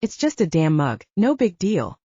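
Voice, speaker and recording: A woman voicing a gruff but caring male soldier in an audiobook, speaking tersely and bluntly. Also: on the low side, very animated, normal speed, dark tone, no echo, lo-fi sound. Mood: gentle concern.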